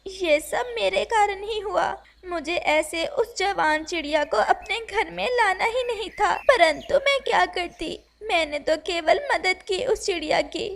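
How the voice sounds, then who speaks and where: A woman's voice: very high-pitched, vocal fry, slight background noise, exaggerated voice. A woman voicing a sorrowful mother bird in a cartoon, tearful and pleading. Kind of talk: Hindi cartoon voice acting.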